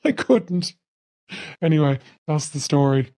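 A woman's voice: lively intonation, a little slow, warm tone, no room sound, studio quality. An Irish woman telling a casual anecdote in a voice note, her voice altered by a voice changer. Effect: voice changer lowering the voice. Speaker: anonymous listener sending a voice note.

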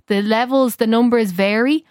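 A woman's voice: a little high, normal speed, a little mellow, no room sound, clean studio sound. A woman with an Irish accent speaking as a technology correspondent, explaining things in an informal, conversational radio style.

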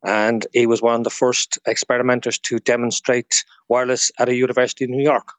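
A man's voice: medium pitch, flat delivery, fairly quick, no echo. Irish man explaining history, dry close sound. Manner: informative, storytelling. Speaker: guest expert, radio historian. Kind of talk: informal explanatory interview talk.

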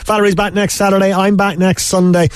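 A man's voice: high voice, little pitch movement, brisk, no room sound, studio quality. A man with an Irish accent presenting a sports show on radio in an easy broadcast style. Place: radio studio.

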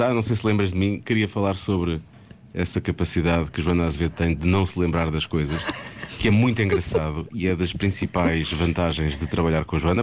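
A man's voice: low-pitched, slight background noise. A man with a European Portuguese accent leaving a teasing, rambling recorded message for a former co-host, laughing as he talks.

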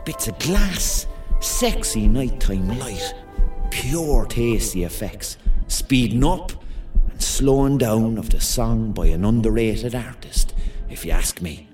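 A man's voice: medium pitch, sing-song, slow pace, music in the background. An actor playing a hapless, self-mocking thirty-year-old man with a Cork accent, telling his story as a rambling, wry confession in a radio drama. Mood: vivid, rueful. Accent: Cork Irish.

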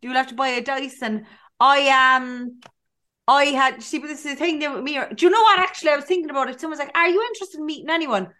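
A young woman with a Cork Irish accent, co-hosting a podcast and telling stories in a lively, chatty way, acting out the dialogue. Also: high-pitched, fast talker. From Ireland. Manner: animated storyteller, acts out dialogue. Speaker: podcast co-host. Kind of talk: casual chat.

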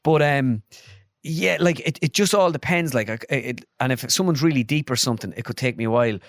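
A young Irish man with a Midlands accent, telling stories in an animated way as a guest, jumping into voices and saying "like" often. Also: medium pitch, a little fast, dry recording, clean studio sound. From Ireland. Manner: animated, jumps into voices, lots of filler "like". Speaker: guest impressionist. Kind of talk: casual storytelling.

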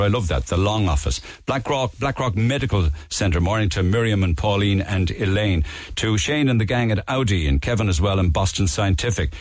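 A man with a Cork Irish accent hosting a radio show, reading listener shout-outs and teasing callers. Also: fairly low pitch, no echo. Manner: brisk, jokey, teasing. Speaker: radio host. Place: radio studio.

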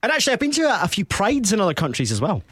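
A man's voice: high-pitched, wildly swooping pitch, rapid-fire, heavy background noise. A Scottish man co-hosting a casual chat show, talking with chatty ease. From Scotland. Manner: chatty, uses Scottish words. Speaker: radio co-host.

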